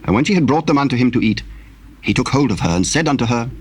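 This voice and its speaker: A man narrating a dramatized Bible, telling the story solemnly in measured, archaic English. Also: medium pitch, animated, a little mellow, slight background noise.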